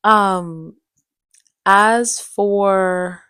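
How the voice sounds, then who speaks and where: A young woman telling personal stories in a casual vlog style, with an animated, slangy, playfully dramatic way of talking. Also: mid-range voice, very slow, clean tone, dry recording, studio quality. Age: young adult. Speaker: vlogger and aspiring actress. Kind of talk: casual chatty vlog storytelling.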